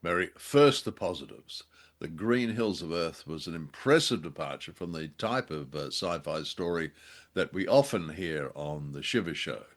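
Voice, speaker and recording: A man's voice: deep voice, expressive, normal speed. A man co-hosting a podcast, giving a prepared, orderly review in a conversational style. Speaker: podcast co-host. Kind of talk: reviewing discussion, prepared remarks.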